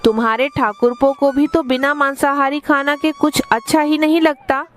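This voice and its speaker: A woman's voice: high-pitched, steady tone, moderate pace, soft background music, close to an everyday voice. A woman voicing a fretful, dutiful village mother who explains things in a cartoon story. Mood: agreeable, fretting. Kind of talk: Hindi cartoon story dubbing.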